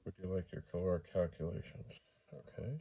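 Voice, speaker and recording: A man's voice: sits low, brisk, vocal fry, dark tone, breathy edge. A man talking casually as he demonstrates something for a vlog, rambling along and playfully repeating his phrases. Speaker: vlogger demonstrating vintage computers.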